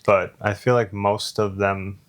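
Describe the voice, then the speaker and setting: A young man vlogger chatting casually, relaxed and agreeable, with frequent short yeahs. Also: on the low side, unhurried. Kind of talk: casual chat.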